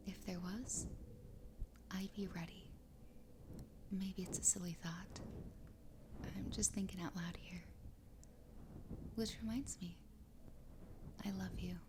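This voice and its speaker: A woman playing an adoring, obsessive fox girl wife in an ASMR roleplay, whispering breathily and close to the microphone in a slow, intimate, soothing way. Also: medium pitch. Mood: soft, adoring. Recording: close whisper with clear background noise.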